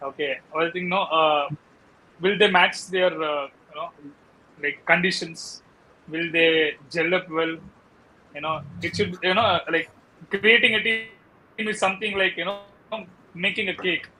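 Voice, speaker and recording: A man's voice: high voice, moderate pace, long pauses, bright, forceful tone, rough recording. A man with an Indian accent talking casually as a cricket panelist, hesitant and often padding his sentences with filler phrases. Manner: hesitant, repeats "you know" constantly. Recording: faint background noise.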